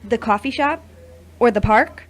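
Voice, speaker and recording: A woman's voice: fairly high pitch, a little slow. A woman playing a troubled, earnest young woman in an audio drama, speaking confessionally. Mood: hesitant, anxious. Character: troubled young woman opening up about past grief. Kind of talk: amateur audio drama acting.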